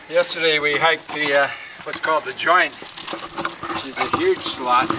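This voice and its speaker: A middle-aged man on a desert trail, talking casually and admiringly about the scenery and radio contacts, with fillers. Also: a little high. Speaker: ham radio hiker. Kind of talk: casual outdoor narration. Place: outdoors on a desert canyon trail. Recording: noisy outdoor camera audio.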